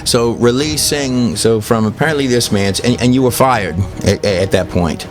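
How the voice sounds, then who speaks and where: A man hosting a documentary, narrating and interviewing in deliberate, halting phrases. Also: medium pitch, over music. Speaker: host and interviewer.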